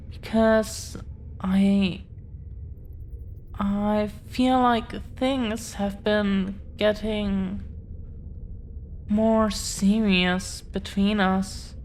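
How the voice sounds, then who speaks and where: Woman playing a slow, halting, devoted dragon-blooded girlfriend. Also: mid-range voice, a little mellow, slight background noise. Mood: tender, nervous. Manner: hesitant, halting, earnest, tender. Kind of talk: intimate audio roleplay, scripted confession.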